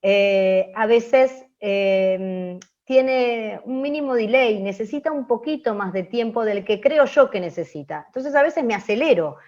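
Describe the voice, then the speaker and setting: High-voiced Argentine woman teaching, lo-fi audio. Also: expressive, a little slow, darkish tone. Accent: Rioplatense Argentine Spanish. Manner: didactic, often seeking agreement with short tag questions. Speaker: radio teacher. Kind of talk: explanatory open class. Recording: dull lo-fi audio.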